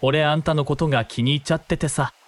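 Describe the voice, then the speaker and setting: A man's voice: a little high, steady tone, fast talker, no room sound, lightly acted. A man playing a cocky, menacing thug in a radio drama, with coarse, taunting, slangy speech. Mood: smug, leering. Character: cocky, menacing male thug pestering a shrine maiden. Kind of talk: Japanese radio drama acting.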